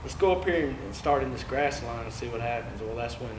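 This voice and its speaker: Laid-back East Texas man, echoey room. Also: medium pitch. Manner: laid-back, halting with many fillers. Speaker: guest angler. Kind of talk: casual chat. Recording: echoey room with loud ambient noise.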